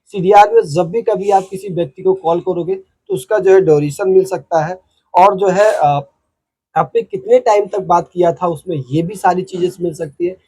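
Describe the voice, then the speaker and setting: A man's voice: sits high, mellow, husky, poor audio. A man with an Indian accent hosting an informal explainer, making points emphatically and repetitively with frequent filler phrases. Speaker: host of a legal explainer channel. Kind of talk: informal explainer talk to viewers.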